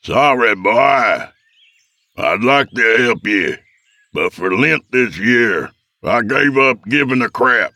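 A man voicing an elderly country father, gruff, folksy and nonchalant, in an audiobook story. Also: medium pitch, slow, no room sound, pitched above the performer's own voice, exaggerated voice. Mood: deadpan. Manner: deadpan, folksy, indifferent.

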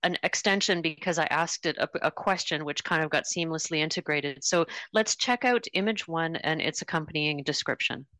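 A woman's voice: low-pitched, moderate pace, few pauses, fairly bright tone, no echo, low-quality recording. A woman in casual conversation, thinking aloud in a thoughtful way as a guest on a talk show.

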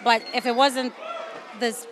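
A woman's voice: a little high, little pitch movement, a little slow. A middle-aged woman from El Salvador, a parent answering interview questions informally and frankly. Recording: noisy outdoor crowd recording.

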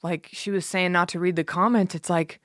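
A woman with a deep voice chatting casually as a podcast guest, animated, with playful asides in her own thoughts. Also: brisk, no room sound, studio quality. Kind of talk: casual chat.

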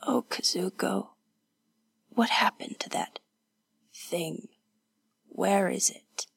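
A woman voicing a battered, distressed young woman in a hoarse, faint, halting whisper for an audiobook. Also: mid-range voice, dry recording, full character voice. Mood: anxious, faint. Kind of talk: audiobook character voice.

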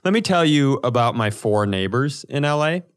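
A man co-hosting a comedy podcast, telling animated, casual stories and acting out the dialogue. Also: mid-range voice, normal speed, clean tone.

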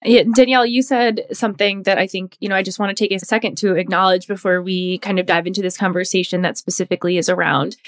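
A woman co-hosting a podcast who works in healthcare, speaking earnestly and haltingly as she searches for words. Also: mid-range voice, steady tone. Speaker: podcast co-host, healthcare worker. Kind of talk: casual podcast chat.

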